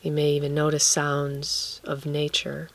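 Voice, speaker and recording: A woman guiding a relaxation meditation, speaking gently and leaving long pauses between phrases. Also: low voice, monotone, gravelly. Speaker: guided meditation teacher.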